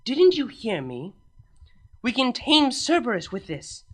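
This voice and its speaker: A woman's voice: a little high, sing-song, measured pace, voice pushed up. A woman doing a proud pony princess. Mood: earnest. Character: proud, determined elder female pony princess.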